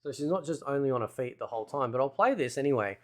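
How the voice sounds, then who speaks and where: A man's voice: medium pitch, animated, fast. Casual male gaming host, rambling commentary. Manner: rambling, thinking aloud. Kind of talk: casual game trailer commentary.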